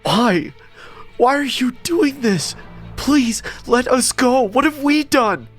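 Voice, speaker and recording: Man playing a terrified male captive, very high pitch, frantic cries, horror storytelling, background music. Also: lively intonation, slow, a bit bright, full character voice. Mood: desperate pleading. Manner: screaming, pleading. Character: terrified kidnapped narrator, frantic and pleading.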